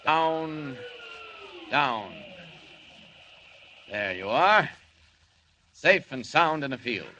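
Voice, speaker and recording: A man playing a strong, calm, earnest flying hero from another world in a 1940s radio drama. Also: fairly high pitch, drawn-out words, pauses often, dull audio, full character voice. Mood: reassuring. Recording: hissy vintage radio recording.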